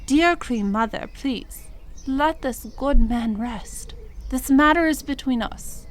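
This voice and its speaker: A woman playing a gentle, devout young queen in an audio drama, formal, measured and pleading. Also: sits high, slight background noise. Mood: humble, firm. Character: gentle, devout young medieval queen, humble yet steadfast. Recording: music under the voice.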